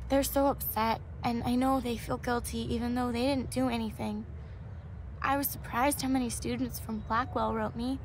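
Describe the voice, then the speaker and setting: A woman acting a gentle, devout young student in a video game, fragile but hopeful, earnest and sincere. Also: a little high, steady tone, moderate pace, a little hiss or hum, lightly acted, poor audio. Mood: earnest, reflective. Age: young adult. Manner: soft-hearted, earnest, sincere.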